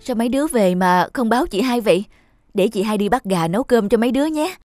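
Woman acting a gentle, high-voiced eldest sister. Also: animated, fast talker, soft background music, natural voice. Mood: caring. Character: gentle, self-sacrificing eldest sister raising her siblings. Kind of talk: cartoon dialogue.

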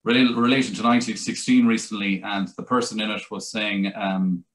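A man's voice: on the low side, level tone, normal speed, rough recording. A middle-aged Irish man, a translator, talking at length on a video call from a small room, with many restarts and fillers. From Ireland. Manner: rambling, many restarts and "you know". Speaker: translator and author. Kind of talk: conversational interview over video call. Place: small indoor room, plain wall. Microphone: video call webcam.

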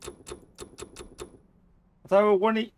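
A man's voice: sits high, level tone, measured pace, smooth. A man giving casual video game playthrough commentary, jokey and thinking aloud as he goes.

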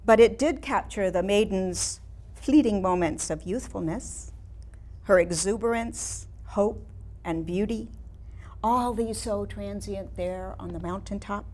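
A woman in her sixties with an American accent performing a staged monologue as a wistful, reflective older painter looking back in measured, nostalgic phrases. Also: mid-range voice, darkish tone, lightly acted. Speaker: playwright performing a staged reading. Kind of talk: theatrical monologue reading. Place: small studio room with seated audience.

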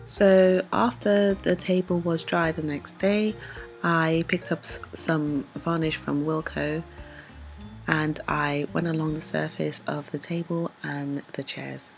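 A woman calmly narrating a voice-over, explaining each step of a furniture project. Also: deep voice, slow pace, creaky, faint music behind. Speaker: DIY vlogger.

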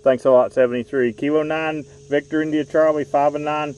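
Man, 40s, outdoor radio operator; quick clipped call-sign delivery, mic held a bit off. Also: fairly high pitch, fairly even intonation, darkish tone, distant mic, muffled recording, a little hiss or hum. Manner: brisk, repetitive call-sign reading. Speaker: ham radio park activator. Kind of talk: rapid radio contact exchange. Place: outdoors in a park. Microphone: handheld radio microphone.

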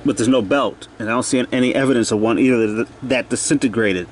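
Male hobbyist repairer, mid-pitched, plain-spoken and unscripted, talking to himself on handheld camera audio with faint hum behind. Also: fast. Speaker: hobbyist repairer narrating own video. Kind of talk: casual off-the-cuff repair commentary. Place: cluttered indoor workshop.

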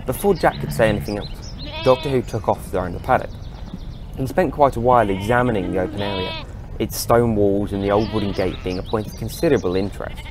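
A man's voice: on the low side, quick pace, noisy background. A man, reading scripted narration for an audio story.

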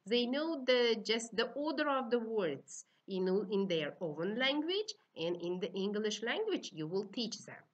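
A middle-aged woman with an Uzbek accent, lecturing to trainee teachers in simple, halting, instructive phrases. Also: a little high, sing-song, highs cut off. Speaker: university teaching-methods lecturer.

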